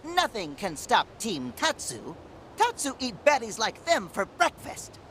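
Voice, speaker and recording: A woman's voice: fairly high pitch, wildly swooping pitch, measured pace, fairly bright tone, slight background noise, full character voice. A woman voicing a small, cheerful, boastful furry creature in a video game, talking childishly in broken third-person grammar. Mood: smug.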